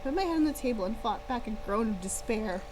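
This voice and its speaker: A woman reading a first-person story aloud on a live stream, expressive and playful, with casual asides. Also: a little high, brisk. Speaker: author-style reader and streamer. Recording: clear background hum and noise.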